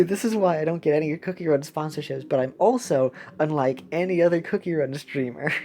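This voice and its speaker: Giggly, low-voiced woman streaming games. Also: dark tone. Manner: giggly, playful, rambling. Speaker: game streamer. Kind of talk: casual livestream chat over gameplay.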